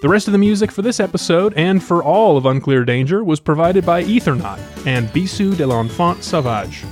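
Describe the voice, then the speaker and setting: A man with an American accent and a fairly high voice hosts a podcast. He moves between interview questions and scripted narration, with music around his voice. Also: expressive, fairly quick.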